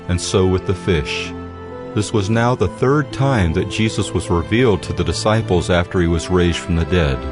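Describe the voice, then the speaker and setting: A male Bible narrator with a low voice reads scripture steadily and solemnly. Music plays clearly under the narration.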